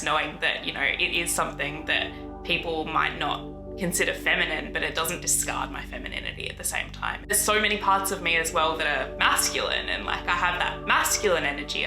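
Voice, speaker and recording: Low, gravelly voice in an echoey room. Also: female voice. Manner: thoughtful, measured, with frequent "you know". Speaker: interviewee. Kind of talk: reflective documentary interview. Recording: echoey room with music behind.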